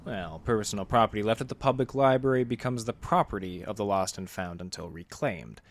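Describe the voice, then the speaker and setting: Man playing a low-voiced, wry noir detective. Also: fairly quick, slight background noise, natural voice. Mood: dry, kindly. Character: wry hardboiled male library detective narrator. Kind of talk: noir detective radio drama with first-person narration.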